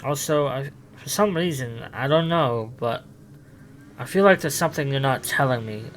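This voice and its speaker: A man's voice: medium pitch, lively intonation, moderate pace, a little hiss or hum. A man casually improvising a roleplay conversation, hesitant and rambling. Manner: hesitant, rambling, with many "like" fillers. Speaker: player roleplaying with an AI character.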